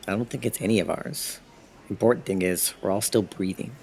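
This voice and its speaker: An actor playing a calm, reasonable young man in an audio drama, laid-back and dryly deadpan. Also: on the low side, brisk, vocal fry. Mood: calm, wry.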